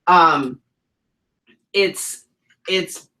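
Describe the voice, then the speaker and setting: Blunt, profane man with a bright voice. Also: high-pitched, extremely slow. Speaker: co-host, drag king performer. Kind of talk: heated casual chat.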